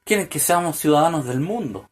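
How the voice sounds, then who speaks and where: A man's voice: a little high, animated, brisk, breathy edge. A man delivering a solo political podcast monologue, full of hesitant fillers and restarts, building to pointed rhetorical questions. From Chile. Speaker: podcast host.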